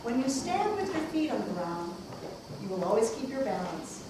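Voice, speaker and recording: A woman's voice: on the high side, sing-song, moderate pace. A woman playing a serene ancient sage who declaims cryptic wisdom in an amateur puppet show. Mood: teaching. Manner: declaiming, oracular. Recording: echoey noisy room, far from mic.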